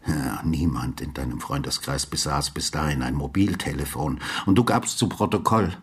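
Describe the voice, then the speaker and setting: An actor playing a brooding, sardonic retired homicide detective, narrating a literary radio drama with deliberate, inner-monologue delivery. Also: male voice, heavily acted. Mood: bitter, accusing. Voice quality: husky, low.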